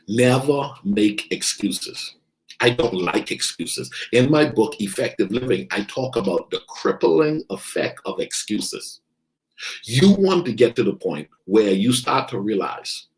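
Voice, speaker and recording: A man's voice: fairly low pitch, rough recording. A man from the Bahamas giving an emphatic motivational talk as a coach, repeating his key phrases for weight. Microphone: online video stream.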